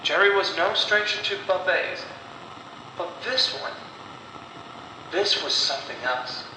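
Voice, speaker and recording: A man storyteller narrating a scripted horror story in a deliberate way, with dramatic pauses. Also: high-pitched. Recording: echoey, distant voice with clear noise.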